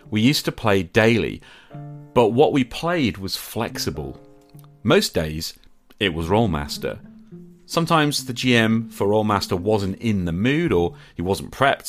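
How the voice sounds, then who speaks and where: A middle-aged man hosting a podcast, delivering an earnest scripted monologue in short, emphatic phrases. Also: on the low side. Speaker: podcast host.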